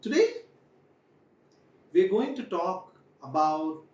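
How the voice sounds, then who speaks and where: A young man tells a children's story in a high, very animated voice. He phrases things deliberately and repeats them for emphasis. The small room echoes around him. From India. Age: young adult. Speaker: storyteller host. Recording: echoey room, distant microphone.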